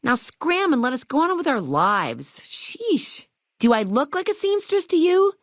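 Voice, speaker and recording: A high-pitched, bubbly young shopkeeper from a video game. Her delivery is sassy and theatrical, swinging widely in pitch with retro slang, and the recording is close and dry. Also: huge pitch swings, unhurried, no room sound, heavily acted. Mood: annoyed, dismissive.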